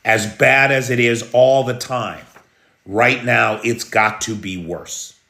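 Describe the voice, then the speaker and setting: A slow-talking American man hosting a live news stream, with a bright, forceful edge to his voice. He takes his time, answering viewers' comments as he goes. His voice rings in an echoey room. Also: mid-range voice, steady tone, vocal fry. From United States. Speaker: news livestream host. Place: room in Kyiv during air alert.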